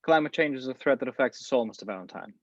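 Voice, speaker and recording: A man playing a polished gentleman spy. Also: medium pitch, expressive, rapid-fire, no room sound, natural voice. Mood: suave. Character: suave, polished gentleman spy, middle-aged. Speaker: table read actor. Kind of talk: amateur online table read. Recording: dry, dull home mic.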